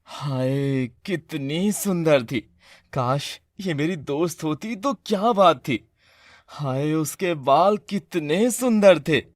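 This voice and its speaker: A man's voice: a little high, lively intonation, slow pace, no echo. A man voicing an earnest, eager, lovestruck young mechanic in a cartoon story. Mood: dreamy longing. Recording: dry studio voice with music.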